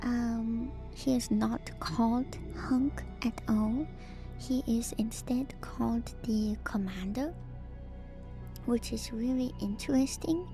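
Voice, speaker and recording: A woman giving whispered ASMR commentary as a streamer, in a soft, breathy, hushed and musing way, close to the microphone. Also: a little high, slow pace, music in the background. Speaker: streamer, host of a lore breakdown.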